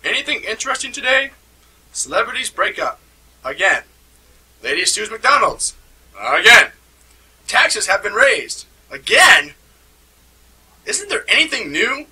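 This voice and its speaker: A man voicing a grumpy middle-aged cartoon father with a raspy, exaggerated voice and sarcastic grumbling. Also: high-pitched, sing-song, unhurried, long pauses, sharp tone, exaggerated voice, low-quality recording. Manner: sarcastic grumbling, then sudden alarm.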